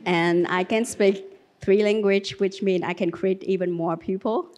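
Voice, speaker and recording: A woman's voice: mid-range voice, fairly even intonation, a little slow, a little mellow, slight background noise. A woman, speaking to a Buddhist community gathering. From Vietnam, later France. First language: Vietnamese. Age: 30s. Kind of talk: reading aloud and personal sharing.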